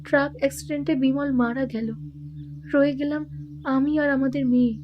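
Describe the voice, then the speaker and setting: Woman acting a plaintive, high-voiced widowed mother. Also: steady tone, moderate pace, lo-fi sound, slight background noise, close to an everyday voice. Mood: sorrowful, resigned. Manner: plaintive, subdued, hesitant. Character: grieving impoverished young widowed mother, meek and resigned. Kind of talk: dramatised audio detective story.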